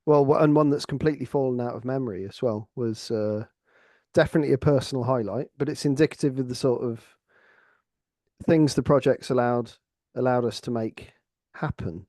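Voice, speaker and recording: A middle-aged man with an English accent, a researcher talking earnestly and haltingly, repeating words as he reflects. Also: mid-range voice, dark tone, no echo, studio quality. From Lincolnshire, England. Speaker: academic researcher and co-host. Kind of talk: reflective conversational discussion.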